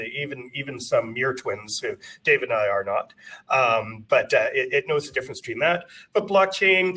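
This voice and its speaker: A man's voice: mid-range voice, pushed and bright, far from the mic, poor audio. A man giving a casual conference presentation from his company office, chatty and self-deprecating, with many fillers and restarts.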